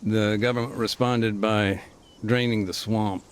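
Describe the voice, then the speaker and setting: An actor playing a middle-aged, war-weary former peacekeeper, speaking plainly with military jargon and dry humor, in a game cutscene. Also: male voice, lowish voice, fairly even intonation, a little slow, slight background noise, lightly acted. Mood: reflective. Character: war-weary male ex-peacekeeper telling his story. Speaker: interviewee. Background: music.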